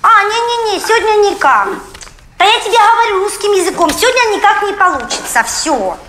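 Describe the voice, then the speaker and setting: A woman voicing a brash young wife in an animated comedy, teasing and scolding in snappy colloquial retorts. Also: extremely high pitch, a little fast, slight background noise, heavily acted. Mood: snappy, impatient.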